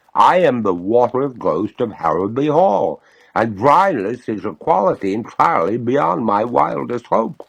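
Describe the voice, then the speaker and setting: Man playing a doleful lady water ghost, haughty, slow drawn-out phrasing, highly animated pitch, slightly husky, storybook character. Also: heavily acted, poor audio. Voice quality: gurgling. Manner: slow, drawn-out, formal and theatrical.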